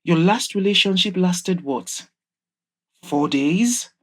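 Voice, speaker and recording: Audio drama: rich, sharp-tongued mother of an adult son, acted by a woman, low register, emphatic and reproachful. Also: animated, slow, exaggerated voice. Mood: scolding, indignant. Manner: scolding, sarcastic, emphatic.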